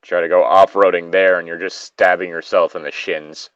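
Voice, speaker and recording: A man's voice: deep voice, little pitch movement, moderate pace, no echo, dull audio. A man reading a story aloud and breaking off into casual, joking, rambling, profane commentary. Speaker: reader and commentator of online stories.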